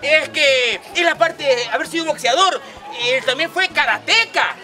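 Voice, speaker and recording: Loud high-voiced street comedian. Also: male voice, animated, moderate pace. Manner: loud, playful, fake wailing and bickering. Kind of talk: improvised street comedy sketch. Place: outdoor plaza before a large seated crowd. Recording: outdoor crowd noise and music.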